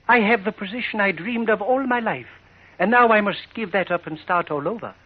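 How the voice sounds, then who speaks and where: Husky, grave, worried father. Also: high-pitched. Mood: grave, fearful. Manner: formal, measured diction. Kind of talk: 1940s radio drama acting. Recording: muffled old radio recording.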